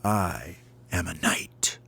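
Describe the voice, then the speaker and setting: A man's voice: low voice, expressive, very slow, creaky, bright, forceful tone, a little hiss or hum, heavily acted. A man voicing a brave, cocky baby bird knight in a children's story, with mock-heroic declarations. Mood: righteous, defiant.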